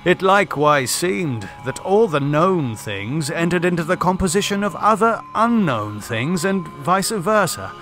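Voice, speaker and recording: A man reading a scripted horror story as an audiobook narrator, in a theatrical, deliberate manner. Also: a little high, sing-song, fairly bright tone, soft background music.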